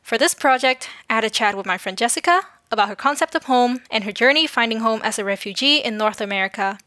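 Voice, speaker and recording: A woman's voice: a little high, quick pace, sharp tone, no echo, clean studio sound. A young woman, a student producer, introducing her project from a script. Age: young adult.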